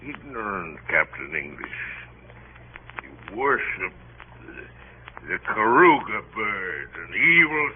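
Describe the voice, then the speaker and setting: An actor playing a grandiose, drunken, feverish missionary passenger, declaiming and rambling theatrically in a radio drama. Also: male voice, on the low side, big pitch swings, takes it slowly. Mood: fervent, preaching. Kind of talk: 1940s radio drama acting. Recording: tinny old radio broadcast, hissy.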